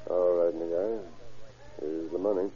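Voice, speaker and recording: A man's voice: low-pitched, steady tone, moderate pace, dull audio, lightly acted. An actor playing an easygoing, shrewd undercover policeman in an old radio drama, speaking casual, slangy American English with assurance. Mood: cool, businesslike. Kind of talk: 1940s radio acting. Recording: old radio recording, thin, faint noise.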